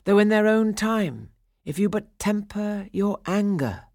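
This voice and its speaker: A woman's voice: mid-range voice, lively intonation, a little mellow, no room sound, studio quality, voice pushed up. A woman voicing solemn stone nymph statues. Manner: measured, solemn admonishing.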